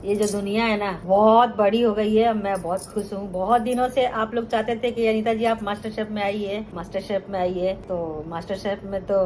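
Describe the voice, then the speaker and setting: A woman's voice: medium pitch, steady tone, normal speed, few pauses, darkish tone, a little hiss or hum. A middle-aged woman from Bihar, telling her life story to viewers in a chatty vlog and often calling them friends. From Bihar, India. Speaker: cooking channel host.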